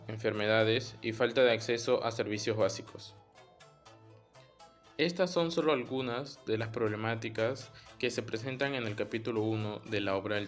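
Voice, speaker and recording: A man reading scripted educational narration in measured short phrases. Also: fairly low pitch, a bit bright.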